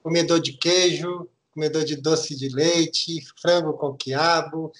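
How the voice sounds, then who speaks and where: Mineiro man explaining calmly, higher voice. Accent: Minas Gerais Brazilian Portuguese. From Minas Gerais, Brazil. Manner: measured, hesitant restarts, explanatory. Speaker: guest auditor. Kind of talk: explanatory podcast interview.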